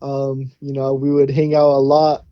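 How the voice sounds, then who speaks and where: A man's voice: medium pitch, monotone, a little slow, smooth, dry recording, dull audio. A young man from Hawaii, a college football player, answering interview questions in an easygoing way with many fillers and restarts. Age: young adult.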